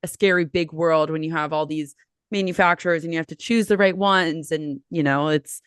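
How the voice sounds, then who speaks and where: A woman's voice: deep voice, fairly even intonation, moderate pace, clear, resonant tone, no echo, studio quality. A woman hosting a podcast who asks long, rambling questions full of fillers. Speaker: podcast host. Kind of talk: casual interview chat.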